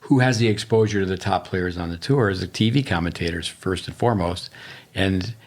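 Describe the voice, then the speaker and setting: A middle-aged man, a tennis coach co-hosting a podcast, talking casually and listing ideas in quick bursts. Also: low-pitched.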